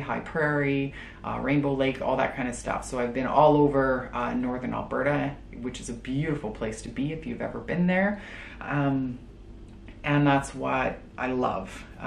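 Casting: woman, late 30s, chatty and upbeat, deep voice, echoey room with faint hiss. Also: gravelly. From Calgary, Canada. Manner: chatty, upbeat, many fillers. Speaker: travel nurse and vlogger. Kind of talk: casual talk to camera. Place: bedroom, indoors.

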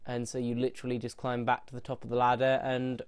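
A man's voice: medium pitch, completely flat delivery, quick pace, clean tone, no echo. A man with a stuffy, bunged-up nose, rambling casually through a gameplay tour with frequent restarts. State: stuffy nose from hay fever. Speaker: gameplay video host.

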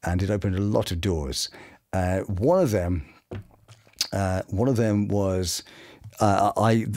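A middle-aged man telling anecdotes casually as a guest, chatty and full of quick asides. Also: low-pitched, darkish tone. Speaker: voice actor guest. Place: small home studio room, indoors.

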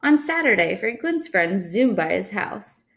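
A young woman reading a children's picture book aloud in an expressive, deliberate way, recorded indoors at home. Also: mid-range voice, gravelly. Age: young adult. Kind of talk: storybook read-aloud. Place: indoors in a small room at home.

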